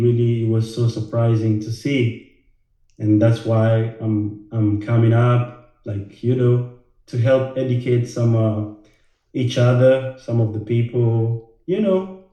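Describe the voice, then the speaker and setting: A man from Rwanda, a financial educator, talking casually to the camera, halting with many fillers and repeated words. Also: medium pitch, mellow. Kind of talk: casual direct-to-camera talk. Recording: very echoey room.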